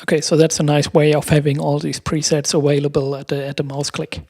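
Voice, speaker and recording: Bright-voiced man casually explaining software. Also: a little high, little pitch movement, a little fast, creaky, no room sound. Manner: hesitant, searching for words. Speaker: host of a music production tutorial. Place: room at home. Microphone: close desk microphone with headphones.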